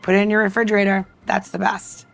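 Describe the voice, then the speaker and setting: A woman's voice: medium pitch, flat delivery, fast, creaky, no echo, soft background music. Chatty woman explaining a recipe. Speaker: podcast co-host and cocktail maker. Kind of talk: casual chat and demonstration.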